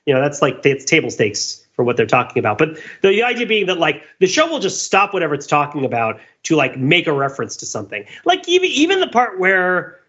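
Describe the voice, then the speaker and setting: A man talking casually as a podcast host, animated and rambling, often halting mid-thought. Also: on the high side, muffled recording. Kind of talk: casual discussion of old television.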